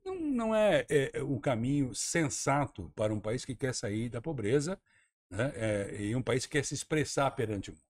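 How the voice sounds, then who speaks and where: A man's voice: mid-range voice, big pitch swings, normal speed, darkish tone. A man from Santa Catarina, Brazil, a former tourism minister, speaking emphatically and argumentatively as a guest on a radio talk-show interview. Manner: emphatic, argumentative, repeats words while thinking.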